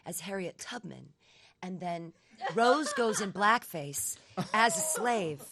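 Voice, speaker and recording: A woman's voice: medium pitch, lively intonation, slow pace, crowd noise. A woman comedian on a panel show, joking in a playful, self-mocking way. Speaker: comedian guest. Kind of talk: casual comedic banter.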